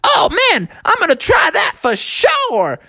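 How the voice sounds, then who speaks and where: A performer voicing an excitable, mischievous young male frog in a children's story, with exclaiming, boyish slang. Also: extremely high pitch, slow, no echo, studio quality, pitched above the performer's own voice, full character voice. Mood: gleeful.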